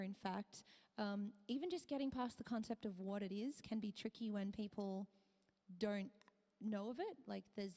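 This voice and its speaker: A woman streamer chatting casually with her viewers, her voice hoarse and tired, half whispering. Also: mid-range voice, a little mellow, long reverb tail. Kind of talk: casual live-stream chat.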